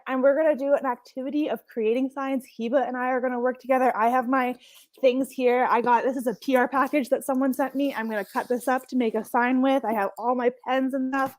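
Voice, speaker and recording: A woman's voice: high-pitched, steady tone, fairly quick, a little mellow, no room sound. A young adult woman raised in Hawaii, a marine scientist giving a chatty, enthusiastic online workshop talk and laughing often. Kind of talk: casual online workshop presentation.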